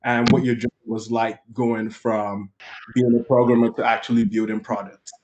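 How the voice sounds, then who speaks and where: A man's voice: mid-range voice, flat delivery, moderate pace, a little mellow, slight background noise, rough recording. A man moderating a webinar, upbeat and encouraging. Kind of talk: casual moderating.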